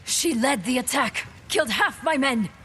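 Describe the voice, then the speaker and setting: An actress playing a tough, blunt crew leader in a video game cutscene, brusque and commanding. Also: female voice, high voice, lively intonation, normal speed, bright, forceful tone, slight background noise. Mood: blunt, embattled.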